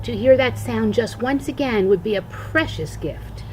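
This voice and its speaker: An older woman, a grandmother, reading a children's storybook aloud with expressive, deliberate phrasing. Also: a little high, slight background noise.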